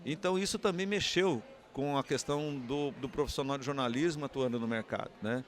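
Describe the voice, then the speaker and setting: A man's voice: mid-range voice, a little fast, slight background noise. A man with a Brazilian Portuguese accent giving an unscripted interview answer, explaining and often posing rhetorical questions. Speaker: interviewee, communications academic.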